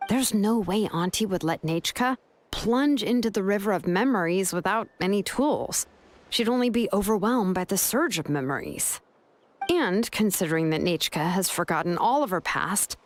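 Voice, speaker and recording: A woman's voice: medium pitch, slight background noise, close to an everyday voice. Woman as a laid-back, pragmatic young craftswoman. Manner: matter-of-fact, unhurried, hesitant pauses. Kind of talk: video game voice acting, explanatory dialogue.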